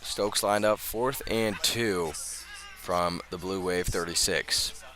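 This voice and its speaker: American male sports commentator, low forceful voice, clipped phrases, live football radio call, music behind. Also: fairly even intonation, slow. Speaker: play-by-play commentator.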